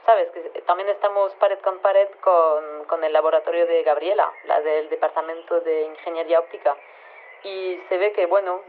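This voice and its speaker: Hesitant scientist on tinny phone line. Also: female voice, on the low side. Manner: hesitant, rambling, matter-of-fact. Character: flustered, matter-of-fact female head of virology lab. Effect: telephone filter. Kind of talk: conversational radio phone interview.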